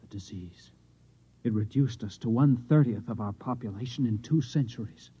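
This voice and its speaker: An actor playing a distraught, insistent Martian trapped in an Earthman's body, with intense, halting delivery in 1950s radio drama style. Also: mid-range voice, mellow, slightly rough. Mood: agitated, bleak. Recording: slightly muffled vintage radio play recording.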